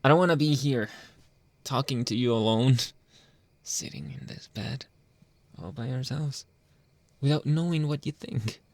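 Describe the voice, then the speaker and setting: A man playing a jealous, controlling young boyfriend in an intimate ASMR roleplay, halting and pleading, often dropping toward a whisper. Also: medium pitch, animated, a little mellow, no echo. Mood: pleading, intense. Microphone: close, dry microphone.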